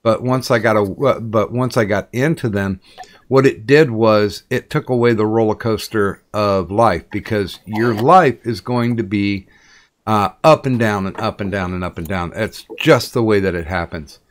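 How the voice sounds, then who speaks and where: A middle-aged man hosting a casual interview, speaking haltingly with many fillers and restarts. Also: on the low side. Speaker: podcast interviewer.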